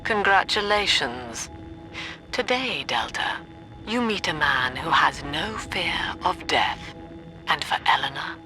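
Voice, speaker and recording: An imperious, calculating villainess from a video game. Her voice is low and bright-edged, delivered slowly with formal contempt. Faint music plays behind her. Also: animated, heavily acted, low-quality recording. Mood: icy, mocking. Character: cold, imperious female doctor villain, middle-aged, calculating.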